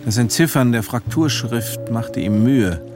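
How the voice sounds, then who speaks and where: An actor as a sober storyteller, giving measured, matter-of-fact literary narration in a radio drama. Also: male voice, mid-range voice, music in the background, close to an everyday voice.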